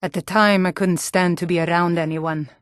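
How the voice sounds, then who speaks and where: A woman's voice: fairly low pitch, fairly even intonation, normal speed, no echo, clean studio sound, close to an everyday voice. A woman voicing a guarded, self-reliant young archery instructor in a video game, plain-spoken and composed. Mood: guarded, matter-of-fact. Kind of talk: video game character dialogue.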